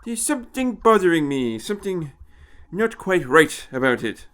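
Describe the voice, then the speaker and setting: A man voicing an elderly, eccentric time traveller, haughty and impish, with a high, tremulous, querulous voice and a fussy manner. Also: very animated, moderate pace, fairly bright tone. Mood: curious. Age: older. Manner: haughty, fussy, hesitant turns of phrase.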